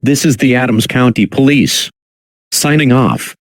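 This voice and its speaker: A man reading a scripted emergency alert as an announcer, in a measured, official, impersonal manner. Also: medium pitch, no echo. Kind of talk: formal scripted emergency broadcast.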